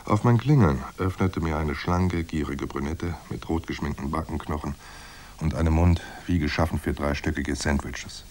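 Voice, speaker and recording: Super deep gumshoe guy, dry and sardonic, noir radio play narration. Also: normal speed, darkish tone. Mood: dry, wry. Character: hard-boiled male private detective narrating, wry and unflappable. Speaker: narrator and lead actor.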